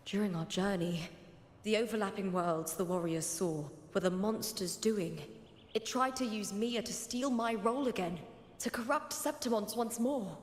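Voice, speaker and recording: A woman voicing an earnest, determined young heroine in a video game, with a breathy voice. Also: medium pitch, normal speed, in a large hall, slight background noise, close to an everyday voice. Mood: solemn, resolute. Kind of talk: video game story dialogue.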